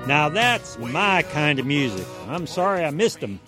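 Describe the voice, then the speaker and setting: A man's voice: a little high, very animated, measured pace, music in the background. A man co-hosting a home improvement show with folksy, playful, joking advice. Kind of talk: jokey scripted home-advice banter.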